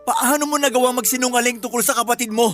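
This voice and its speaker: A woman's voice: a little high, steady tone, quick pace, a bit bright, natural voice. A woman with a Filipino accent playing an angry, suspicious girlfriend in a radio drama, sarcastic and confrontational. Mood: angry, accusing. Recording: music under the voice. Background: background music.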